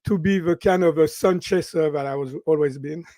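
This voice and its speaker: A man in his sixties from France with a French accent, a guest in an interview, talking in an animated way and often ending sentences with "right?". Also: a little high, normal speed, dry recording, clean studio sound. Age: 60s. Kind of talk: interview conversation.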